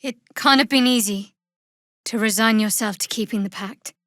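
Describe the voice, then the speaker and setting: A young female cleric of a dark goddess , acted by a woman, speaks in a fairly high voice. She is guarded and wry, with a cool, sardonic edge. It is scripted fantasy game dialogue, recorded very dry with no room sound. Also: moderate pace, no room sound, close to an everyday voice. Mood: earnest. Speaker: video game character.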